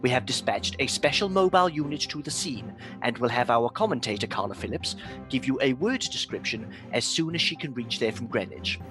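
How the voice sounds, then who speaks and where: A man's voice: medium pitch, expressive, brisk, close to an everyday voice. A man playing a studio announcer over music. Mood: formal. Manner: formal bulletin reading. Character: male studio news announcer. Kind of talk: amateur radio play acting.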